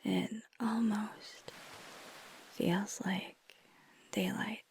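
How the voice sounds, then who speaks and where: A woman playing a flirty, tender vampire in an intimate ASMR roleplay, speaking softly and gently, mostly in a breathy whisper. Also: mid-range voice, fairly even intonation. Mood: soft wonder. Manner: slow, gentle, hesitant, teasing.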